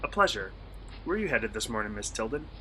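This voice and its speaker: A man playing an eager, polite college student and hobby vlogger in a radio play, excitable and earnest. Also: on the low side, quick pace, a little hiss or hum, poor audio. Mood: sheepish, polite. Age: young adult.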